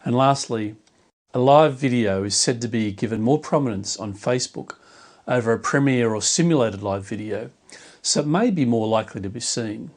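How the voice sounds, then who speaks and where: A man who runs a church media team, delivering a scripted explainer video in a clear, measured way. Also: medium pitch.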